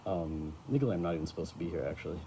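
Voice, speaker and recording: Brief: a man doing a casual exiled writer, very deep voice, quick. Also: dark tone, close to an everyday voice. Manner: casual, filler-heavy. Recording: noisy home recording.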